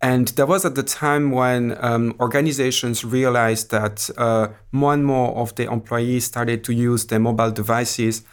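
A middle-aged man from Switzerland whose first language is French talks with a clear French accent. His voice sits in a mid range, and he explains his work in a hesitant way, full of fillers and small restarts. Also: medium pitch, flat delivery, moderate pace, few pauses. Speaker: guest, academic. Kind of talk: podcast interview.

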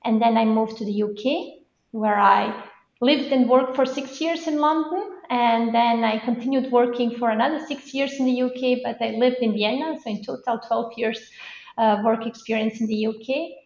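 Measured woman from Bosnia, roomy lo-fi sound. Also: a little high, vocal fry, darkish tone. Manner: measured, detailed storytelling. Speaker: guest, assistant professor. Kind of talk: podcast interview. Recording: roomy, dull lo-fi audio.

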